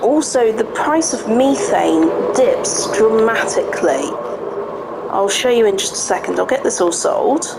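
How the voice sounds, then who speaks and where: A woman with a slightly croaky voice narrates her farming game session in an easy, chatty way, thinking aloud as she plays. Her home microphone picks up clear background noise. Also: fairly high pitch, lively intonation, measured pace. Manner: chatty, talks to herself while playing. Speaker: gaming channel host. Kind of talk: casual let's-play commentary. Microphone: home desk microphone.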